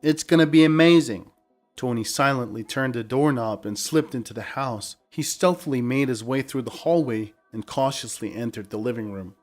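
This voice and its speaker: A man's voice: mid-range voice, moderate pace, few pauses, faint music behind. A man reading a scripted dramatic story as narrator.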